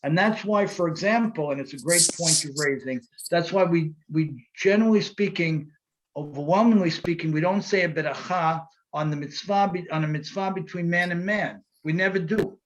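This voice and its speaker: Animated rabbi teaching on a call. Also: male voice, fairly high pitch, moderate pace, low-quality recording. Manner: animated, repeats phrases for emphasis. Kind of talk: interactive online class. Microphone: video call.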